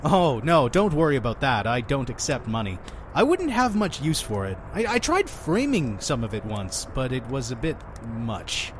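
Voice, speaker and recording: An actor playing a chatty, pushy innkeeper of a mysterious inn in an audio drama, with an effusive, theatrical manner. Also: male voice, mid-range voice, sing-song, moderate pace, a little hiss or hum, lightly acted. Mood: breezy, insistent. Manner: effusive, talking over his guest.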